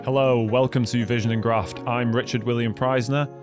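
A man hosting a podcast with a slightly croaky voice, talking in a friendly, enthusiastic way. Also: mid-range voice, flat delivery, moderate pace, music in the background. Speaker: podcast host. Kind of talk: podcast intro and casual chat.

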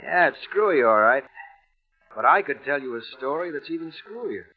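A man's voice: on the high side, lively intonation, lightly acted. Cast a male actor as a colloquial American ex-sailor storyteller, vintage radio play, narrow muffled sound, faint music. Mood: offhand. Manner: colloquial, halting. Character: slangy American ex-Navy radio operator spinning a yarn. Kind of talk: old-time radio drama acting.

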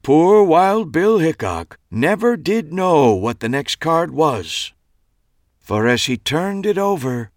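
A man voicing a showy male stage magician with dramatic, drawn-out announcing in a storybook reading. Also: a little high, big pitch swings, no room sound, studio quality, pitched above the performer's own voice. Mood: ominous.

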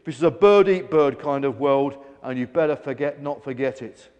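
A man's voice: high voice, lively intonation, moderate pace, a little mellow, big-room sound, muffled recording. A man voicing a big, greedy gannet for a children's story, screeching defiantly and refusing to share. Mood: fierce, defiant. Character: greedy bullying gannet, big, brash and unrepentant.

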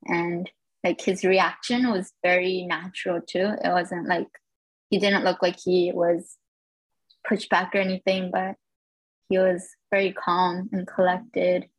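A woman's voice: fairly low pitch, flat delivery, unhurried, no echo, poor audio. A woman sharing her own experience as a guest in a casual, reflective way. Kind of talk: casual personal storytelling.

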